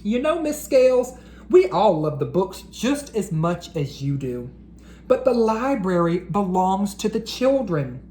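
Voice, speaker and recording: A woman voicing a sweet, gentle female kindergarten teacher in a storybook, coaxing softly. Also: medium pitch, sing-song, a little slow, roomy sound, a little hiss or hum.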